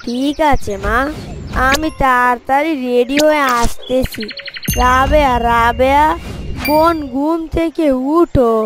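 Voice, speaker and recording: Female performer as caring schoolboy big brother, village drama; high childlike voice, unhurried urging, faint noise and birdsong. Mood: eager, coaxing. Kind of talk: Bengali family story drama acting.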